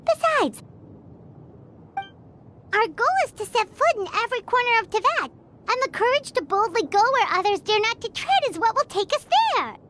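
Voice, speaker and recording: A woman voicing a tiny, perky girl adventurer in a video game, with a squeaky childlike voice. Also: sing-song, normal speed, pushed and bright, a little hiss or hum, heavily acted. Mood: plucky, proud. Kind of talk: scripted video game character acting.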